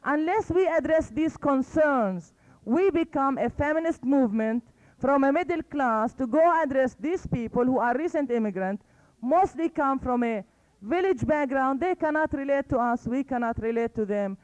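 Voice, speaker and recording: A woman with a very high voice argues her point at a conference, earnest and persuasive. She often stops to ask "okay?" The recording sounds dull and lo-fi. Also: lively intonation, moderate pace, darkish tone. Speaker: conference panelist. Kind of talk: impassioned public talk.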